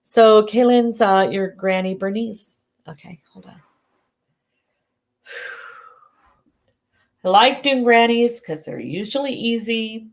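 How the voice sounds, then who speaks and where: A woman doing live psychic readings who sounds congested and talks in halting bursts, often restarting and correcting herself. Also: on the high side, vocal fry. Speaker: psychic medium. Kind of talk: live-stream readings, casual chat.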